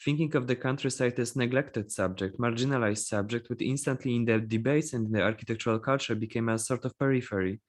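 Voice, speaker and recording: A man's voice: mid-range voice, quick pace, hardly any pauses. A man moderating a panel discussion, thinking aloud and searching for words. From Poland.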